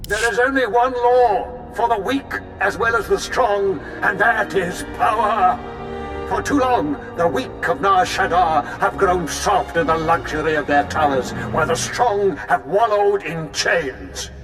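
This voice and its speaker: A fiery demagogue in a video game. The high, sweeping voice preaches slowly and grandly to followers, with music behind it. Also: male voice. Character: fiery male cult leader, pompous demagogue. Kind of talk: video game speech acting.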